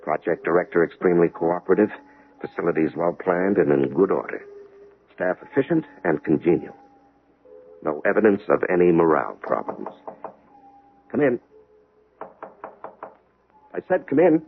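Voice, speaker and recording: An actor playing a formal, persistent government inspector in an old-time radio drama. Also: male voice, low-pitched, very animated, moderate pace, vocal fry, pauses often, heavily acted. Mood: brisk, official. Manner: formal, officious. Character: earnest, thorough male government inspector, prim and persistent. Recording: narrow, tinny vintage radio sound.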